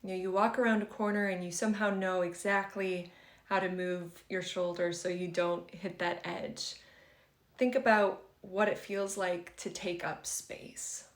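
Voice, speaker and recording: A woman's voice: fairly low pitch, fairly even intonation, unhurried. Echoey woman guiding a meditation. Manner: gentle, conversational, reassuring phrasing. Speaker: meditation guide. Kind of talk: guided meditation instruction for beginners. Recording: roomy, echoing sound.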